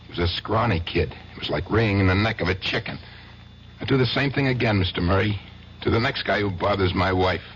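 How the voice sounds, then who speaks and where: A man's voice: low-pitched, animated, fairly quick, fairly bright tone. A man playing a menacing husband who confesses murder in a matter-of-fact way, in a radio drama. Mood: calmly menacing. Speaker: acquitted client. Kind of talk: 1970s radio drama acting. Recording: dull lo-fi radio broadcast with faint hiss.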